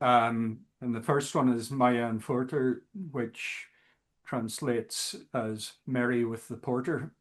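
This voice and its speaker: Guy guest on a music podcast, slow and halting, lots of ums. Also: mid-range voice, fairly even intonation. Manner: slow, halting, many fillers. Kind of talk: casual interview chat.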